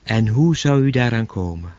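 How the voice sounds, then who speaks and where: Radio drama: male actor as everyday man out of his depth, sceptical and uneasy, lively intonation, slightly muffled recording. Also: medium pitch, normal speed, natural voice. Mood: puzzled, sceptical. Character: bewildered, uneasy ordinary man questioning a mysterious voice. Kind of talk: naturalistic radio drama dialogue.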